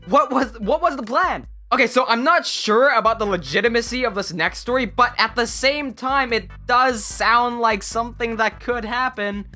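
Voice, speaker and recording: A narrator reading online stories aloud and adding wry, animated commentary. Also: mid-range voice, moderate pace, hardly any pauses, soft background music. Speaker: story narrator.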